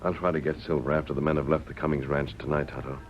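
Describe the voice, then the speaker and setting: Masked western hero, earnest and commanding, dull noisy old radio recording. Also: male voice, very deep voice, fairly even intonation, fast talker. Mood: confiding, resolute. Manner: earnest, declarative, authoritative. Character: masked cowboy hero, commanding, upright, resolute. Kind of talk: old-time western radio drama acting.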